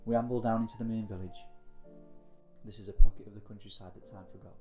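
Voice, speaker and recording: A man's voice: on the low side, steady tone, fast talker, music in the background. A man narrating a scripted first-person audio story in a descriptive, reflective manner. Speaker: narrator of an audio story, playing a scout leader.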